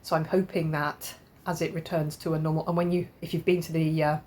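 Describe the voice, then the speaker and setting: A low-voiced woman talks to camera in a relaxed, rambling way, full of ums and false starts. Her nose is blocked from a cold, which thickens her voice. There is a faint hiss in the room behind her. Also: brisk. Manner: chatty, rambling, lots of ums and restarts. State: stuffy-nosed from a cold. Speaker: crafting vlogger. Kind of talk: casual chat to camera. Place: home conservatory.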